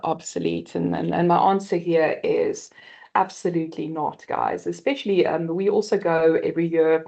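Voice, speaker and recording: A South African woman in her fifties speaking on a conference panel, halting with many fillers and restarts, often calling the audience guys. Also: sits low, gravelly, darkish tone, dull audio.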